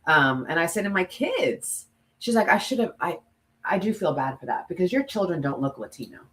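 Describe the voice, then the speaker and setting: Low-voiced woman co-hosting a candid podcast. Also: very animated, a little fast, a bit bright, slight background noise. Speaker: podcast co-host, former TV news presenter. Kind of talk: candid conversational podcast talk.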